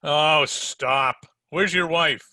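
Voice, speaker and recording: A man's voice: a little high, extremely slow, sharp tone, no echo, heavily acted. A man playing a wisecracking, unflappable hardboiled private detective in an old-time radio drama style, wry and tough-talking. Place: home recording over video call.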